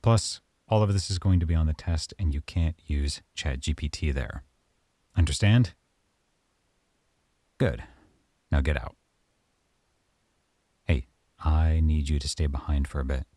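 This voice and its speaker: Deep, gravelly male lead for a close-mic ASMR roleplay, dry sound, calm and measured. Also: dry recording. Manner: calm, measured, softly stern. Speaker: audio roleplay performer as a professor.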